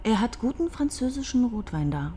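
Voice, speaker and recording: An actress playing a sharp-tongued young archive clerk in a radio crime drama, wry and quick to take offence. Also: female voice, a little high, very animated, slight background noise.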